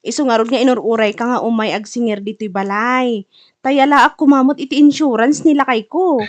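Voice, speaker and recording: A woman's voice: on the high side, fairly even intonation, fairly quick, natural voice. A woman playing a practical married woman in an Ilocano audio drama, talking in a plain, matter-of-fact way. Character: practical married woman, dutiful client and caring wife. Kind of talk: Ilocano radio drama acting.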